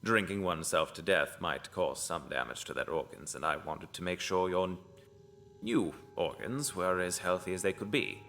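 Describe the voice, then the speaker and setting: An actor playing an apologetic, macabre cult tailor who stitches corpses, speaking haltingly in an audio drama. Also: male voice, deep voice, lively intonation, bright, forceful tone, slight background noise, full character voice. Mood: nervously explaining.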